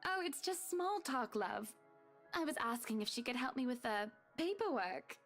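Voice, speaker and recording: A man voicing a pushy, wealthy woman who talks slowly and patronizingly in a visual novel reading. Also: very high-pitched, expressive, a bit bright, soft background music, voice pushed up, exaggerated voice. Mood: condescending. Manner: syrupy, slow, patronizing.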